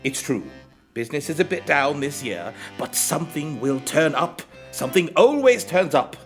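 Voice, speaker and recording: An actor playing a jolly, generous old warehouse master, hearty and avuncular, in theatrical radio play style. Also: male voice, a little high, big pitch swings, moderate pace, faint music behind. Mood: gentle, confiding.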